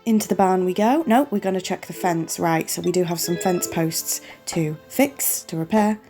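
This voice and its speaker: A woman's voice: lowish voice, moderate pace, music in the background. A woman with a cold, sniffly and losing her voice, chatting her way through a farming game playthrough and apologising along the way. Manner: apologetic, chatty, talks the viewer through each step. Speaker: gaming channel host. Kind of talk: relaxed let's-play commentary.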